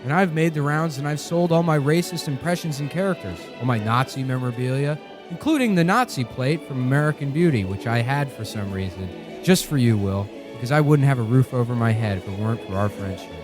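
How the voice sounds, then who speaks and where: Man acting a fast-talking comic radio huckster. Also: mid-range voice, lively intonation, natural voice. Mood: earnest, gushing. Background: loud music.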